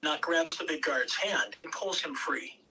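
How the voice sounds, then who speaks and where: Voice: mid-range voice, unhurried, sharp tone. An amateur reader performing screenplay narration and scene directions with theatrical emphasis. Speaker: script reader at a table read. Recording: distant home mic, background noise.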